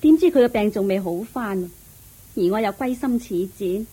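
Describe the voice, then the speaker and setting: An actress playing a gentle, persuasive queen in a radio drama, slightly husky, with a Hong Kong Cantonese accent and a patient, coaxing manner. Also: mid-range voice, animated, moderate pace, poor audio. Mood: cheerful, explaining. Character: gentle, proud, persuasive young queen consort.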